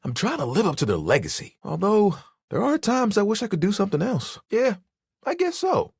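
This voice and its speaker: A man playing an easygoing, proud and sentimental living towel in a video game, with a husky, expressive and earnest delivery. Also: high-pitched, fairly quick, dry recording, studio quality, exaggerated voice. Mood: hesitant, sincere. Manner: laid-back, expressive, earnest, playfully boastful. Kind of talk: video game character dialogue.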